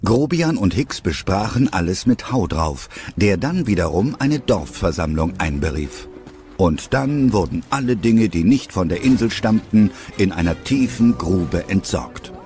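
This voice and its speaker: A man's voice: deep voice, very animated, measured pace, a little mellow, soft background music. A man narrating a scripted audio drama as its storyteller.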